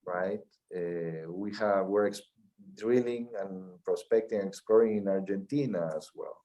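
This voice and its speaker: A middle-aged man from Peru with a Peruvian Spanish accent, answering interview questions in a measured way, often restarting and repeating words. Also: sits low, warm tone, low-quality recording. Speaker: mining company CEO.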